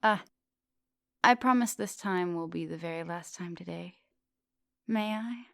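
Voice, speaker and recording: A woman acting as an ancient female vampire professor in an intimate ASMR roleplay. Her voice is very low with a gravelly fry, recorded close and dry. She is composed and formal in her wording, yet teasing and gently commanding, slipping at times toward a whisper. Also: unhurried, no room sound. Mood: flirtatious, teasing. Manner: teasing, formal wording, soft dominant, often dropping into whispers.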